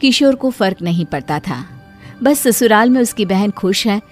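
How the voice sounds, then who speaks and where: Casting: female storyteller for scripted moral-tale narration, mid-pitched, soft music bed. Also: expressive, moderate pace.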